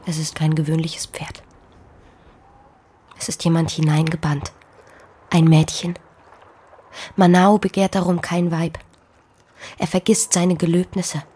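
Scared but stubborn young farm girl, kinda low and husky, serious radio play style. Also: level tone, unhurried, pauses often, mellow. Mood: hushed, haunted. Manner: earnest, troubled, formal stage diction. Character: poor young pregnant farm maid, fearful yet stubborn. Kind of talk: German radio play acting.

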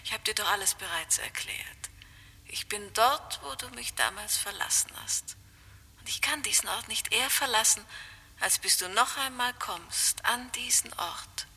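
A ghostly woman on the line, whispering in a breathy, husky voice with a piercingly bright edge. She coaxes slowly and softly, as if from the grave, in an old radio drama. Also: fairly high pitch, expressive, full character voice. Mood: coaxing, eerie. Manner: soft, coaxing, unhurried. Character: eerie whispering woman, dead first wife calling back. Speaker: mysterious caller on the phone. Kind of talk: radio play acting.

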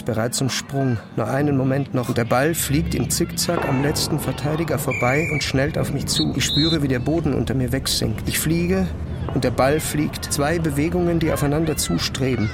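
A man reading first-person literary narration in an introspective, measured manner. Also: medium pitch. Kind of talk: literary scripted narration, audio drama. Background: music.

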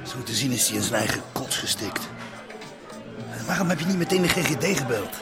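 A man's voice: mid-range voice, animated, a little fast, loud music behind the voice, lightly acted. Terse, grim policeman, played by a man. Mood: grim, uneasy. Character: weary, uneasy policeman mixed up with criminals. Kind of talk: naturalistic radio drama acting.

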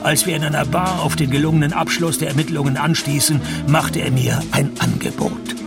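An actor playing a sardonic, laconic private detective in a radio play, with a gravelly voice and dry wit. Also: male voice, a little high, fairly even intonation, fairly quick, loud music behind the voice. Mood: dry, matter-of-fact. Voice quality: gravelly, creaky. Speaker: narrator and lead. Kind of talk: radio play narration and dialogue.

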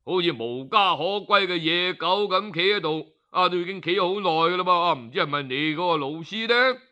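A man with a Cantonese accent voicing a chatty, casual passer-by in a story, rapid and offhand, full of exclamations. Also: high voice, expressive, pushed and bright, full character voice. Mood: offhand, puzzled. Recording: slightly dull, lo-fi audio.

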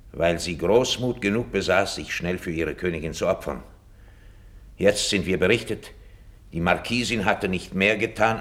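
An actor playing a cold, scheming duke in a 1950s German radio drama, measured, formal and insinuating. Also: male voice, low-pitched, a bit bright, heavily acted. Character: cold, calculating male duke, scheming courtier.

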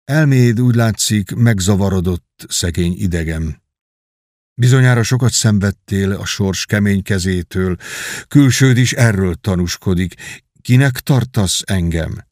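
Man doing a falsely gentle young nobleman. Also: lowish voice, dry recording, clean studio sound, natural voice. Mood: feigned gentle. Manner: soft, measured, feigned pity. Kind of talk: audiobook reading.

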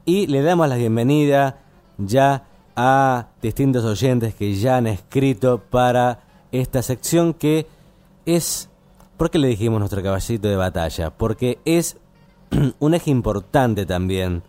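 A man with a Buenos Aires Argentine accent hosting casual late-night radio, explaining things in a halting, rambling way. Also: medium pitch, lively intonation, mellow. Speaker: radio host.

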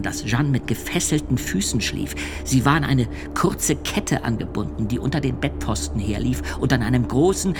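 A man playing a sombre male witness testifying at a trial in a radio drama, telling his account in a measured, hushed way, with music underneath. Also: mid-range voice, natural voice. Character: male church usher, a grave witness.

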